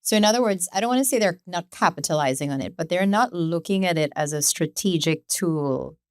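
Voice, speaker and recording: Female co-host, low pitch, probing and reflective, dry recording. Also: animated, fairly quick, no echo. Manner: probing, thinks aloud with fillers. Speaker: podcast co-host. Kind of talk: casual interview chat.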